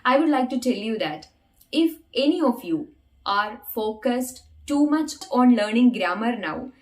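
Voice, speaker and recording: Woman, young adult, non-native English speaker, earnest lesson delivery, slightly high voice. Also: expressive, unhurried. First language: not English. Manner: earnest, repeats phrases to stress points. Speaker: English learning vlogger. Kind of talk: talking-head English learning lesson.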